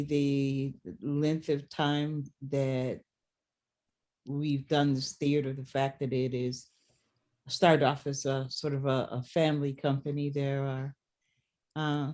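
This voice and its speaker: A middle-aged host leads a post-show talk at an unhurried pace. The delivery is reflective and halting, with words often repeated while searching for the next thought. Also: medium pitch, flat delivery, a little mellow. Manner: reflective, halting with word repetitions. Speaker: theater director and discussion host.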